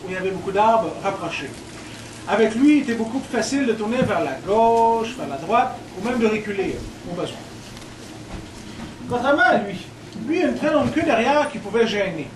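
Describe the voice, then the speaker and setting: A Quebec-accented man guides a group of visitors, joking and teasing as he explains. His voice sits high for a man. The sound comes from a camera some distance away, with a loud wash of room noise behind him. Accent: Quebec French. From Quebec, Côte-Nord. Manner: playful, teases the audience. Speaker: cultural tour guide. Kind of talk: guided tour talk to visitors.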